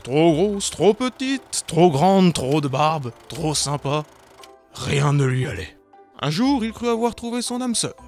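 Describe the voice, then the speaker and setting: A man narrating a cartoon fairy tale in a mock-solemn, playful way. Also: fairly high pitch. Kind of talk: storybook narration.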